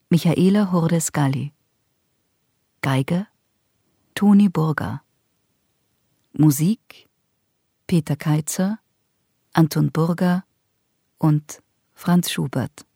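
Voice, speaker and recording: A woman's voice: sits low, warm tone, husky, no echo, clean studio sound. A woman announcer reading scripted radio credits in a sober, list-like manner.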